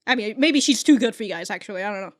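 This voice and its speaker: A woman's voice: medium pitch, animated, rapid-fire. A woman hosting an anime reaction channel, giving casual review commentary. She is chatty, swears freely, laughs often and thinks aloud with frequent restarts.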